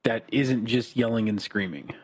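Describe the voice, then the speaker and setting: A man co-hosting a podcast, chatting casually in a calm, even-tempered voice that is not raspy. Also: medium pitch, normal speed. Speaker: podcast co-host. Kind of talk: casual chat.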